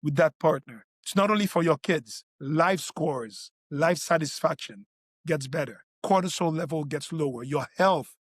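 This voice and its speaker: A man's voice: on the high side, moderate pace, no room sound, clean studio sound. A man who hosts a health podcast, a doctor whose first language is not English, explaining in an earnest tone with frequent restarts. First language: French or Creole. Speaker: physician podcast host.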